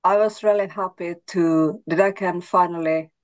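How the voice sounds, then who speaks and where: A woman's voice: lowish voice, fairly even intonation, normal speed. A Swedish-speaking woman astrologer, answering interview questions thoughtfully with frequent restarts. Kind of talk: casual interview.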